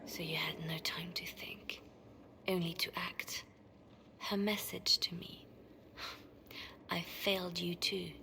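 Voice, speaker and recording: Grave, low-voiced spymaster in reverb. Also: female voice, steady tone, slow pace, creaky, long pauses, pushed and bright, in a whisper, exaggerated voice. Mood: grieving. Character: grave, devout female spymaster grieving her mentor. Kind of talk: video game cutscene drama. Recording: long reverb, audible background ambience.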